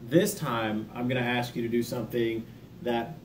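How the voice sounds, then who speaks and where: A man's voice: mid-range voice, fairly even intonation, normal speed, slight background noise. A plainspoken man hosting an informal self-help video, talking straight to viewers in a folksy way with the odd jokey aside. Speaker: video host, army veteran sharing self-help lessons. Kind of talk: informal video talk, partly reading a book overview.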